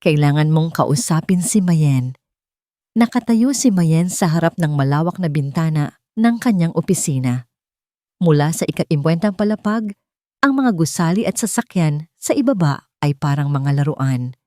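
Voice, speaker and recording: Low-voiced Filipino woman telling a dramatic story. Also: animated, normal speed, warm tone, no room sound, studio quality. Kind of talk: scripted dramatic narration of a love story.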